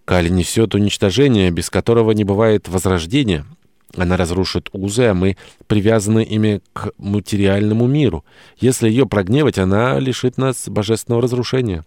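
A man's voice: lowish voice, brisk, darkish tone, no room sound, clean studio sound, close to an everyday voice. A man voicing a pious, submissive young male villager in an audiobook, speaking solemnly. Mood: solemn, devout.

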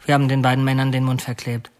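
An actor playing a brooding male killer who confesses matter-of-factly and haltingly, as in a radio drama. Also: medium pitch, monotone, natural voice. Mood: grim, confessing. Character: confessing male killer, bitter, brooding, oddly soft-hearted. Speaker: actor narrating as a murderer.

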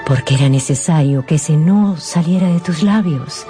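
Actress as middle-aged genteel mother, gentle, measured, coaxing, radio drama, prominent background music. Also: low voice, natural voice. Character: gentle, probing mother and aunt of a genteel household. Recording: music under the voice.

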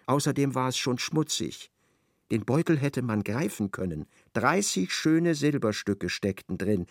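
A man's voice: mid-range voice, no room sound, clean studio sound. A man narrating a literary radio drama.

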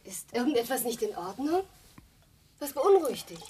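An actress playing a tender, concerned lover, breathy and intimate, in radio play dialogue. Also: female voice, on the high side, very animated, normal speed, warm tone, a little hiss or hum.

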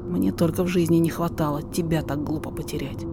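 A woman voicing a calm, commanding male fox sorcerer, measured and reassuring, in a verse audio story. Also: fairly high pitch, background noise, natural voice. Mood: stern. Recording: music behind the voice.

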